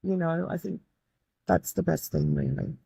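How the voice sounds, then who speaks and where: Woman, low croaky voice, earnest guest, dry close mic. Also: normal speed, mellow, no echo. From Australia. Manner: earnest, grateful, with many restarts. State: croaky, tired voice. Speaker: guest, medium and tutor. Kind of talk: reflective interview talk.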